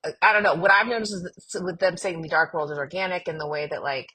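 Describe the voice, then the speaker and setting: A woman's voice: fairly low pitch, steady tone, fast talker, sharp tone, slightly rough, rough recording. A woman co-hosting a podcast in an informal, enthusiastic chat. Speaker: podcast co-host. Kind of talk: casual podcast discussion.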